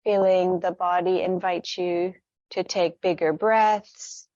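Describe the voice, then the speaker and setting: A woman leading a guided meditation with stretches, speaking in a gentle, soothing, unhurried manner. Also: medium pitch, no echo, lo-fi sound.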